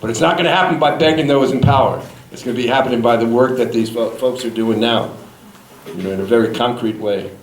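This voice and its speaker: A man's voice: medium pitch, fast. Earnest man speaking in an echoey hall. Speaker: magazine panelist. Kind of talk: panel discussion. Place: public event hall. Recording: echoey room, faint noise.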